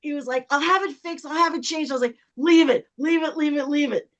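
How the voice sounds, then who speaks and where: A woman's voice: high voice, fairly quick. A woman telling a casual anecdote in a chatty way, acting out bits of dialogue as she goes. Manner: chatty, reenacts dialogue when retelling.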